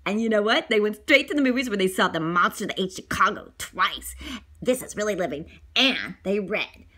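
A woman reading a children's picture book aloud in a theatrical, animated way, adding little asides and repetitions. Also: medium pitch, moderate pace.

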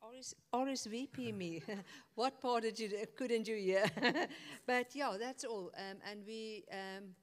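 A woman's voice: on the high side, takes it slowly, few pauses. A woman announcing her home group to a church, nervous with many fillers and small laughs. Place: church hall. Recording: long church hall reverb.

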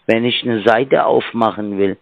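A man with a rough, gravelly voice pushes a sales pitch over the phone. He stumbles and restarts, padding his sentences with fillers. The line is tinny and completely dry. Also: no echo. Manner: halting, fumbling with fillers. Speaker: scam caller. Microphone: telephone.

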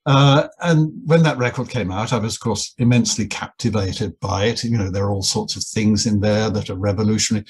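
An older man from England, a guest anthropologist and writer, telling wry, self-deprecating stories in a relaxed interview, often restarting his sentences. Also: lowish voice, brisk, hardly any pauses, slightly rough.

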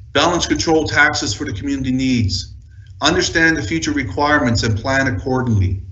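A man giving a formal slide presentation as a senior town official, reading out lists in measured short phrases. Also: mid-range voice, fairly bright tone, echoey room, dull audio.

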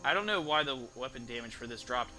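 A young man giving casual gameplay commentary in a rambling, halting way, streaming from an indoor room. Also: mid-range voice, animated, low-quality recording. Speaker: game streamer. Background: game music.

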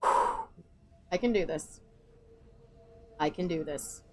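A woman's voice: on the low side, drawn-out words. A woman streaming a game and ranting sarcastically with jokes about the plot. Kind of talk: sarcastic gameplay commentary.

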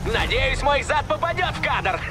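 A man's voice: very high voice, big pitch swings, normal speed, background noise. An actor voicing a cocky, boastful male street racing rival in a video game, taunting and swaggering.

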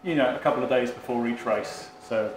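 A man's voice: medium pitch, fairly quick, a little hiss or hum. Regular guy explaining the workshop, lots of ums, echoey big room. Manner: plain, matter-of-fact explaining with many ums. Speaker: team staff member giving a tour. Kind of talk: explaining on a walking tour. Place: large workshop indoors. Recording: roomy workshop echo.

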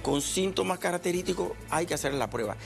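A man's voice: high-pitched, expressive, fairly quick, vocal fry, loud music behind the voice. A middle-aged man, a doctor explaining things in plain terms on a studio talk show. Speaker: infectious disease doctor guest. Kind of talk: explanatory TV interview.

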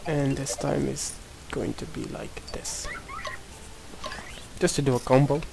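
A man's voice: mid-range voice, steady tone, measured pace, gravelly, background noise. Portuguese man mumbling over gameplay. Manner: mumbling, talks to himself while playing. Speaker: gameplay commentator. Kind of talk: casual gameplay commentary.

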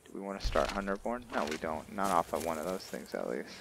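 A man's voice: deep voice, expressive, fast talker, gravelly, background noise. A man giving casual commentary on his roleplay playthrough, explaining things to viewers and often answering his own questions. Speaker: gamer narrating a roleplay playthrough.

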